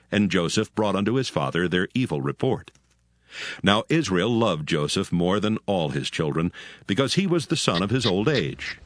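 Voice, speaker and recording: A man's voice: low voice, no room sound, clean studio sound. A man narrating a dramatized Bible story in a solemn storytelling style. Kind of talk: dramatic scripted Bible reading.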